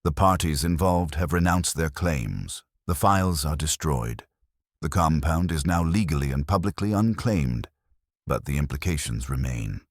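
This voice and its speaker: A man playing a stout, older detective who speaks in precise, formal, measured sentences. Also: a bass voice, animated, no room sound, clean studio sound, full character voice.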